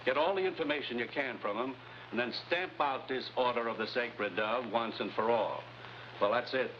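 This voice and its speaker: An actor playing a grave, authoritative commissioner giving firm, clipped orders in a 1950s television spy adventure. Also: male voice, mid-range voice, moderate pace, natural voice. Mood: grave, commanding. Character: grave, authoritative commissioner briefing his agent. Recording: muffled old TV soundtrack, hissy noise.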